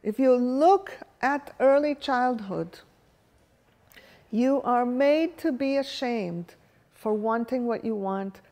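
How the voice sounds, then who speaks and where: A woman's voice: on the high side, warm tone. A woman whose first language is Hebrew, leading a workshop and thinking aloud while she asks the room questions. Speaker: workshop facilitator. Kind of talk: live workshop talk with audience questions. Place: workshop room with a live audience.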